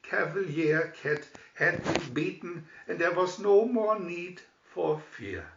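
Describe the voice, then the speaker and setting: A man narrates a children's storybook in an unhurried, theatrical way. His fairly high voice swings widely in pitch. The sound is roomy and a little dull, picked up from a distance. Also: animated, vocal fry, fairly bright tone. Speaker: storybook reader. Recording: echoey room, distant dull microphone.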